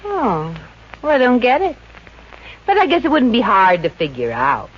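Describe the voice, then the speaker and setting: A wisecracking, street-smart showgirl in a 1950s radio comedy. She is breezy and sure of herself, and her pitch moves around a lot. The old recording is muffled, with faint noise. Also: wildly swooping pitch, moderate pace. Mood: sassy. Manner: breezy, slangy, sassy. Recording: dull, noisy old-time radio recording.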